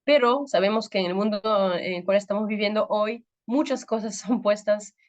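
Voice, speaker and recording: A woman's voice: mid-range voice, moderate pace, darkish tone, lo-fi sound. Peruvian woman with French-tinged Spanish. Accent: Peruvian Spanish with some French influence. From Peru. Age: 30s. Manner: hesitant, many fillers and searches for words. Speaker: pastor. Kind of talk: women's ministry talk.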